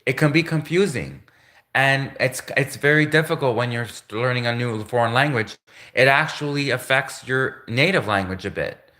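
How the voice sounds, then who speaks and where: A man's voice: mid-range voice, normal speed, sharp tone. A man hosting a livestream, talking casually in a rambling way, often repeating words and restarting his sentences. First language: English. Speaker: livestream host.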